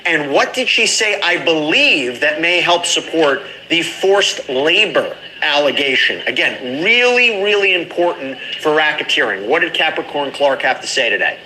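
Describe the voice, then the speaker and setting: A man with a very bright, sharp, slightly high voice gives legal commentary as host. He explains step by step with emphasis and rhetorical questions. There is faint noise behind him. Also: sing-song, moderate pace, hardly any pauses, low-quality recording.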